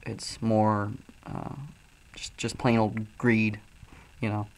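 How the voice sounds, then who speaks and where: A man from Illinois with a Midwestern accent, loosely and profanely talking through chat comments on a livestream while high. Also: completely flat delivery, slow pace, darkish tone, slight background noise. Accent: Midwestern American. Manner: loose, profane, frequent ums and uhs. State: high, coughing from smoking. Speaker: streamer reading chat.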